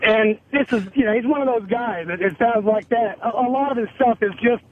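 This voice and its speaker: Very high-voiced man on a tinny phone line. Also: fairly quick. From United States. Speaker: radio show caller, airline pilot. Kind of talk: argumentative call-in discussion.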